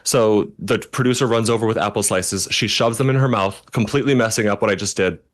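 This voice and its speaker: A man in his thirties with a deep, low voice tells chatty, animated stories from his makeup work. He leaps into other people's voices when he quotes them. It is a relaxed, casual guest chat. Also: fairly quick. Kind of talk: casual anecdote telling.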